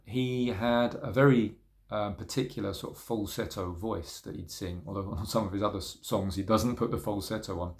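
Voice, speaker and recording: Male record collector, lowish voice, laid-back and rambling, peppered with ums. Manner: relaxed, rambling, many ums and trailing-off asides. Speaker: record collector showing vinyl. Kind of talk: casual spoken video review.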